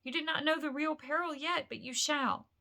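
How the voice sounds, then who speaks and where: A woman voicing a grave, commanding old male wizard in a bedtime storybook, speaking slowly and with portentous emphasis. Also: high-pitched, steady tone, vocal fry, pushed and bright, voice pushed up, full character voice. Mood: stern.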